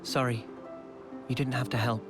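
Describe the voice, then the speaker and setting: A man playing a gentle, earnest young male soldier in a video game cutscene, hesitant and soft-spoken. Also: mid-range voice, level tone, normal speed, over music, natural voice. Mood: shaken, humble.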